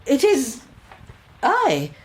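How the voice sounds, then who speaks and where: An actress playing a stern yet pitying older Victorian mother-in-law in a radio drama, speaking in measured, reluctant, formal phrases. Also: high voice, wildly swooping pitch, full character voice. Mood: flustered, evasive. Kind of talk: period radio drama acting.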